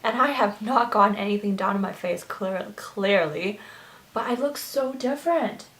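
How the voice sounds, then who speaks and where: A woman's voice: mid-range voice, moderate pace, a bit bright. Chatty young woman doing her makeup. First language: Chinese. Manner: chatty and gushing, with many fillers and restarts. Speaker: beauty vlogger. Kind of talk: get-ready-with-me makeup tutorial chat.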